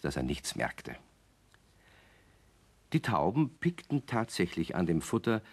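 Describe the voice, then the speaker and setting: A man narrating a children's radio play as a storyteller, in a wry, expressive manner. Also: low-pitched, heavily acted. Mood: storytelling. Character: wry, genial male storyteller of a children's tale.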